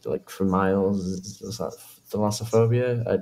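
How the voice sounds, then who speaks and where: A man's voice: deep voice, fairly even intonation, normal speed, warm tone. A man playing a nervous, friendly young job applicant and victim in an analog horror film, with a hesitant, stammering delivery. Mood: chatty, upbeat.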